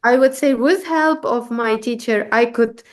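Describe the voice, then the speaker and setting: Halting vocal coach woman, slow speech. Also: on the high side. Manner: halting, many restarts, explains with examples. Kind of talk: conversational interview answers.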